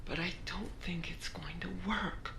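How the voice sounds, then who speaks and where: A woman's voice: lowish voice, measured pace, a bit bright. An actress playing an anguished, doting mother, hesitant and sorrowful as she pleads for her condemned son. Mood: anguished protest. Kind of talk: film drama acting. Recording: noisy room ambience.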